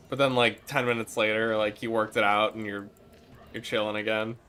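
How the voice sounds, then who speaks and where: A man's voice: fairly low pitch, flat delivery, measured pace, pushed and bright, slight background noise. A young man with a Midwestern accent chatting casually and nostalgically while streaming a game, swearing and laughing now and then. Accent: Midwestern American. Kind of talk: casual gameplay commentary.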